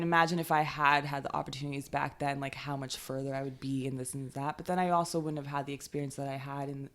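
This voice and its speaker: A woman in her thirties with a low voice talks casually as an interview guest. She rambles loosely, dropping "like" and "you know what I mean" and laughing often. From Canada. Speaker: DJ and producer guest.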